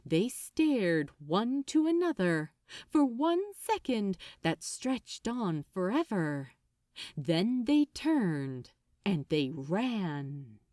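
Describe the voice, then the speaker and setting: A woman narrating fiction like an audiobook, with an expressive, deliberate and suspenseful delivery. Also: mid-range voice, dry recording, clean studio sound. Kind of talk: dramatic scripted audiobook narration.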